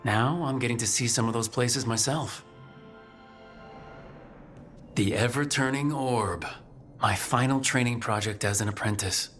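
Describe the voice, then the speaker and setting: An actor playing an earnest young human mage hero in a video game, reflective and determined. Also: male voice, mid-range voice, normal speed, a bit bright, soft background music, close to an everyday voice.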